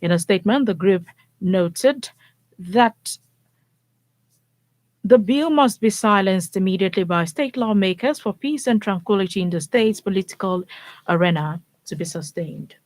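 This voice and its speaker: A woman's voice: mid-range voice, normal speed, darkish tone, dry recording. A woman with a Nigerian accent presenting radio news, reading formally and hosting from the studio.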